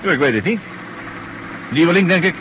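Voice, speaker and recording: Over clear engine noise comes a man acting a brash truck driver in a radio play. His voice sits fairly high, and he talks in a chatty, jocular, down-to-earth way, like a familiar workmate. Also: animated, fairly quick, highs cut off, lightly acted. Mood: brash, teasing. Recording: noisy old radio play recording.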